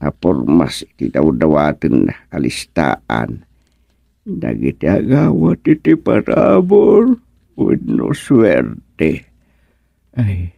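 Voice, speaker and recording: A man's voice: rumbling low, sing-song, slow pace, gravelly, warm tone, breathy edge, no room sound, heavily acted. A man playing a grumbling, self-important old husband in an Ilocano radio comedy, muttering to himself with frequent exclamations. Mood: musing.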